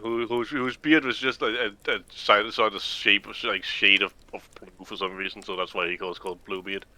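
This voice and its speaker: A man with a bright, forward-sounding voice talks casually alongside a video game. He likes to explain, and does so haltingly, circling back over his words. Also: on the low side, fairly even intonation. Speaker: gameplay co-commentator explaining folklore.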